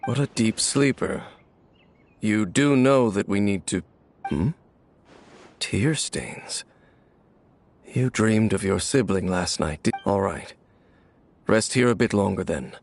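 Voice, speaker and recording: A man voicing a gentle, watchful young traveling companion in a video game, speaking softly and considerately. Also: fairly low pitch, takes it slowly, long pauses, slight background noise. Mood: gentle concern.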